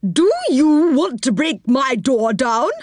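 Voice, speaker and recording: A woman's voice: fairly high pitch, expressive, a little slow, dry recording, clean studio sound, pitched above the performer's own voice. A woman voicing a cross, haughty old woman in a storybook, scornful and snappish.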